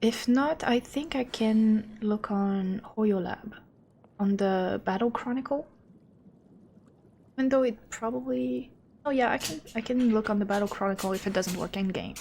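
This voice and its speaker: A woman's voice: fairly high pitch, moderate pace, gravelly, a little mellow, slight background noise. A woman game streamer chatting casually as she thinks aloud, rambling and a little self-deprecating. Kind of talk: casual livestream chat and commentary.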